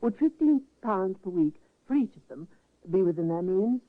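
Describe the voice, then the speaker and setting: An actress playing a composed, gracious nurse in a 1950s radio drama, smooth and reassuring. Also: female voice, medium pitch, animated, normal speed, natural voice. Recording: tinny restored old radio broadcast.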